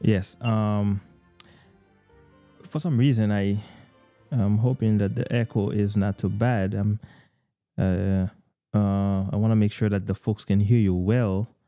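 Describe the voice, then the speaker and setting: A young man hosting a live faith talk show over a video call, preaching earnestly with many filler pauses, on a studio microphone. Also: on the low side, fairly even intonation, warm tone, soft background music. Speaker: host. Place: home room, video call. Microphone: studio mic with headphones.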